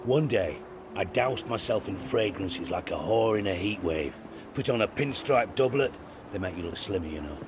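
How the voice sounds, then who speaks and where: Actor as a deep-voiced, sardonic older spymaster. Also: male voice, expressive, moderate pace, noisy background, lightly acted. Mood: wry storytelling. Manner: sardonic, blunt, theatrical. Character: cynical, wry, heavyset older spymaster man, crude-tongued. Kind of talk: video game cutscene dialogue.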